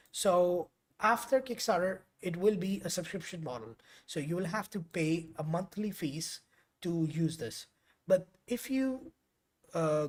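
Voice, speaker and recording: Guy hosting a live coding stream, urdu is his first language, chatty and rambly, lots of uhs. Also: fairly high pitch. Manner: chatty and rambling, with frequent fillers and self-corrections. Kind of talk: casual live-stream talk to viewers.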